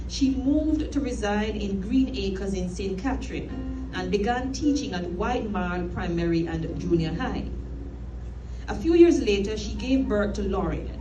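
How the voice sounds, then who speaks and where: A middle-aged woman with a Jamaican accent reads a scripted eulogy in a measured, formal storytelling manner. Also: medium pitch. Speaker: eulogist, sister of the deceased. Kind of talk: scripted eulogy read aloud. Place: inside a church. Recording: echoey church, distant mic, music behind.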